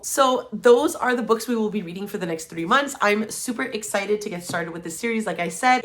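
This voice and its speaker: A woman hosting a book vlog, talking to viewers in an enthusiastic, informal and slangy way. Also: mid-range voice. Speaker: book vlogger, channel host. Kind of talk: chatty scripted-and-casual book vlog to camera.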